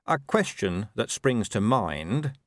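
Man playing a weary watch boss, dry, sardonic questioning, lively delivery. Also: lowish voice, slow, no echo. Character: weary, dry-witted male watch commander.

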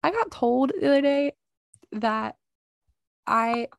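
A woman's voice: sits high, animated, takes it slowly, creaky, no echo. Young female co-host with a Southern drawl, dry and teasing, recorded close and dead. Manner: wry, teasing, offhand. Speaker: podcast co-host. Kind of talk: casual chat.

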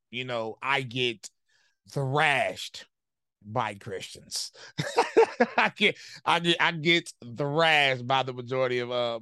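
A man's voice: medium pitch, fairly bright tone, clean tone, no room sound. A man interviewing a guest, asking questions in a casual, hesitant way with many fillers.

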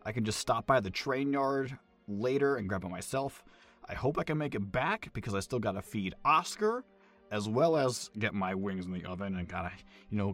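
A man's voice: on the low side, fairly bright tone. Man as rambling, awkward boyfriend. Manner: rambling, apologetic, self-conscious. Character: rambling, awkward, superstitious sports fan boyfriend. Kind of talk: audio drama voicemail monologue.

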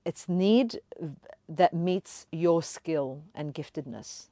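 A woman hosts a podcast in a low, mellow voice. She encourages her guest and talks with frequent hesitations and fillers. The sound is dry and close. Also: expressive, takes it slowly, no room sound. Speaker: podcast host. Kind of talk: conversational interview.

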